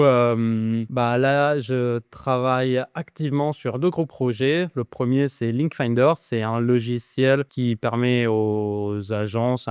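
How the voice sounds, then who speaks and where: A man's voice: medium pitch, clear, resonant tone, dry recording, studio quality. A man from Argentina with a light Argentine accent, a guest speaking casually in an interview with slang and restarts. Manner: relaxed, informal, with restarts and slang. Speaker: guest, SEO agency founder.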